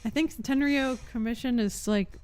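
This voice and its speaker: A woman's voice: fairly high pitch, moderate pace, darkish tone, clean tone, dry recording. A young adult woman chatting casually as she streams a game, rambling in an informal, unsure way. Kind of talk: casual live stream chat.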